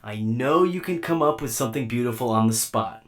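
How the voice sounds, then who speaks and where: A man's voice: medium pitch, lively intonation, a little fast, close to an everyday voice. A man voicing an earnest, puzzled, naive robot companion in a radio drama.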